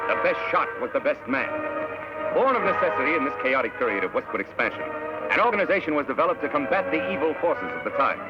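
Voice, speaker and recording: Male narrator, American accent, highish animated voice, dramatic vintage radio storytelling, muffled old recording with music.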